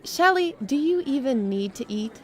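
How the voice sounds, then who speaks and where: A woman's voice: sits high, animated, normal speed. An actress playing a friendly, hospitable settlement woman in an audio drama, welcoming and lightly teasing. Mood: cheerful, teasing.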